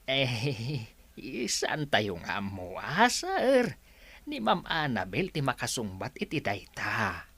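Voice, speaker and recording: A woman's voice: a bass voice, wildly swooping pitch, takes it slowly, a bit bright, slightly rough, dry recording, heavily acted. A woman playing a flustered, gossipy servant in an Ilocano comedy radio drama, exclamatory and whining. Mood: nervous, deferential.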